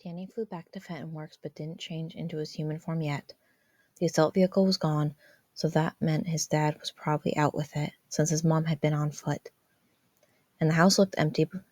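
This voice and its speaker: A woman's voice: low voice, dark tone, no room sound. A woman reading scripted fan fiction narration as a podfic narrator.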